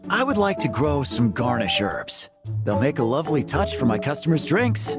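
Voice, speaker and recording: Game casting: genteel, attentive shopkeeper, low pitch, lilting range, music behind. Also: female voice, sing-song, normal speed. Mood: polite. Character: polite, refined young cafe keeper, attentive.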